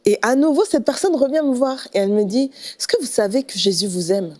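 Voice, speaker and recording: A middle-aged woman from the Paris region with a French accent, telling her personal testimony conversationally, often pausing to rephrase. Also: on the high side, lively intonation, darkish tone. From Paris region, France. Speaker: pastor, guest giving testimony.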